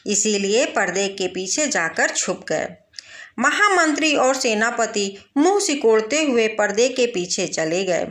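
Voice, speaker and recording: A woman's voice: on the high side, a bit bright. A woman narrating a scripted moral folk tale as a storyteller.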